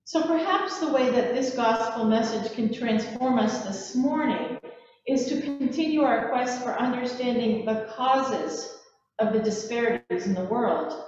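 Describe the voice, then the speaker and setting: An older woman preacher giving a sermon from a church pulpit in measured, deliberate phrases. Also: on the high side. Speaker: Episcopal preacher. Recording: echoey church from far off, muffled, noisy.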